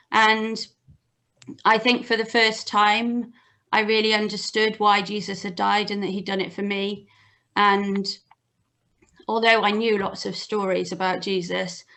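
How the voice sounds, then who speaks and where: A young woman from Wales shares personal memories as an interview guest. She speaks slowly and gently, with hesitant gaps, at a medium pitch over a video call. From Cardiff, Wales. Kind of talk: casual personal storytelling. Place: small home room with bookshelves, on a video call. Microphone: computer mic on video call.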